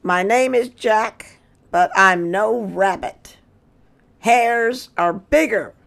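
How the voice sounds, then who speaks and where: Woman voicing an indignant, slow-spoken storybook hare. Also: mid-range voice, gravelly, fairly bright tone, pitched above the performer's own voice. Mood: proud, insistent. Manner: emphatic, proudly correcting. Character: indignant, boastful desert hare in a children's storybook.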